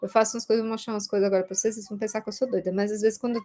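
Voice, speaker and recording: A woman's voice: medium pitch, level tone, racing, warm tone. A woman with a Brazilian Portuguese accent teaching an informal video lesson in a conversational way, often checking in with short tag questions. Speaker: Reiki course teacher.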